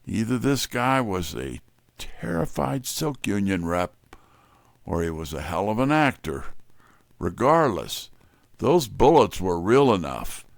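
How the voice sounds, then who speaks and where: Slow, animated male detective narrator. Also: on the low side, gravelly, dry recording. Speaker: first-person detective story narrator. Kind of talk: scripted hardboiled narration.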